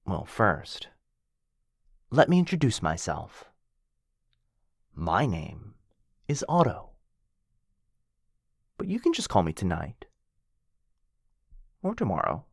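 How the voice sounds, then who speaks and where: Man doing a flirty male werewolf, teasing, possessive, low-pitched, unhurried, dry close sound. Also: huge pitch swings, gravelly, breathy edge, no room sound, heavily acted. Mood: playful.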